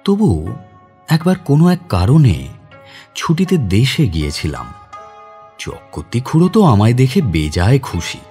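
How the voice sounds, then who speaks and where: A man's voice: medium pitch, huge pitch swings, a little slow, long pauses, mellow, soft background music. An actor playing a kind, reflective man visiting his home village, narrating the dramatised story himself. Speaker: narrator and lead character.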